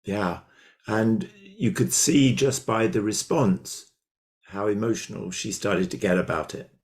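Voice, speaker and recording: A man's voice: lowish voice, a little slow. A man taking part in an online workshop over a video call, speaking earnestly and directly. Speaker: workshop participant. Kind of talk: casual personal sharing.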